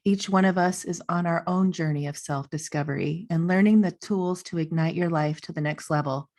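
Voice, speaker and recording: A woman's voice: lowish voice, steady tone, normal speed, a little mellow. A woman in her forties hosting a podcast from her living room over a video call, talking in an upbeat, gushing way with lots of "you know.". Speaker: podcast host. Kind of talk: scripted promo then casual interview chat. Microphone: studio mic on a boom arm, earbuds, video call.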